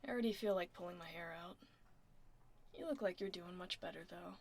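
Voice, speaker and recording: A woman playing a laid-back tomboy werewolf office admin in a soft, casual, wry ASMR roleplay. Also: on the low side, fairly quick, creaky, slightly rough. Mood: weary, wry. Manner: casual, reassuring, wry, murmuring. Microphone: close binaural ASMR microphone. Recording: close binaural mic, faint background noise.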